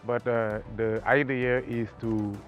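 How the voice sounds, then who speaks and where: A man from Senegal with a Senegalese accent, talking haltingly about his art in a documentary interview, with many pauses and fillers. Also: mid-range voice, level tone, dull audio, soft background music. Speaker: artist.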